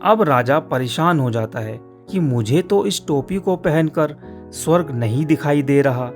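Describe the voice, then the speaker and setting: A man tells a scripted moral tale as a cartoon narrator. His voice is a little high and unhurried, with soft guitar music behind it. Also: animated.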